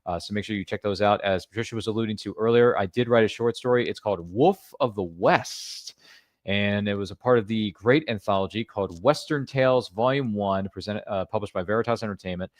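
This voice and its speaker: Fast-talking upbeat male podcast host. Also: on the low side, no room sound, studio quality. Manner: upbeat, rambling, self-deprecating. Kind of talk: casual show wrap-up and plugs.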